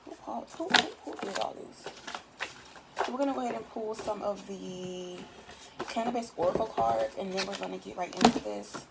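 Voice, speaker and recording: A tired woman with a raspy voice, giving a casual, chatty tarot reading in loose, swearing everyday talk. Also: medium pitch, moderate pace, very noisy. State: just woke up, tired. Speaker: tarot reader.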